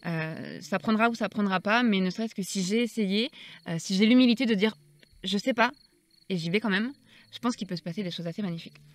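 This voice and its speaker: A woman's voice: medium pitch, a little fast. A woman hosting a poetry channel, talking reflectively and searching for her words as she goes. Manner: thoughtful, searching for words, soft-spoken.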